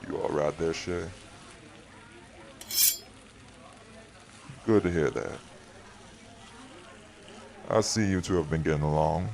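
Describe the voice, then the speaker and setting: Man playing a very deep, gravelly vampire lord. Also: a little mellow. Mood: dry, teasing. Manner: measured, dry, teasing. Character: male vampire city leader and party host, weary, wry, protective. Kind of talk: audio roleplay drama acting.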